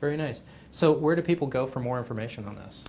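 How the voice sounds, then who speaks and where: A man's voice: mid-range voice, expressive, fast, darkish tone. A man conducting a casual interview, asking questions and responding briefly.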